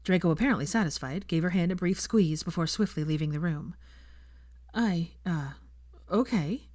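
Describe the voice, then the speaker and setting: A woman's voice: on the low side, fast talker, darkish tone, dry recording. A woman narrating a scripted story as an audiobook reader. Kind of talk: scripted fiction narration with character voices.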